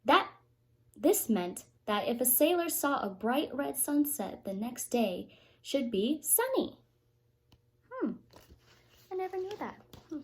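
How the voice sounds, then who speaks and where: A woman with a fairly high voice reads a picture book to young children at a very unhurried pace. She sounds like a teacher at story time, reading expressively and stopping for small explanatory asides. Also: sing-song, a little mellow, slight background noise.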